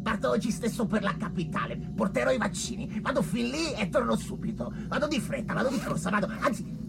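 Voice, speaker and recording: Casting: vain, panicky young male doctor for radio drama; high voice, wildly swinging delivery. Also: lively intonation, brisk, vocal fry, over loud music, exaggerated voice. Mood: flustered haste. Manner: blustering, repetitive, frantic. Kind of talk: theatrical radio play acting.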